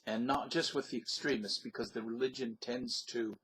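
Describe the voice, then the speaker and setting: A man's voice: medium pitch, fairly even intonation, moderate pace, fairly bright tone, breathy edge. A man from the southern United States talking in a casual, rambling monologue in a put-on accent, hesitant and full of fillers. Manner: hesitant, many fillers and restarts; talks in a put-on, not his own accent. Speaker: vlogger.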